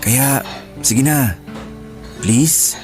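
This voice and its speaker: Sincere, persistent young suitor in radio romance; mid-pitched, pleading delivery over soft music. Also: male voice, extremely slow. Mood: hopeful, coaxing. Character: devoted, persistent, earnest young male suitor. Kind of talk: radio romance drama acting. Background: background music.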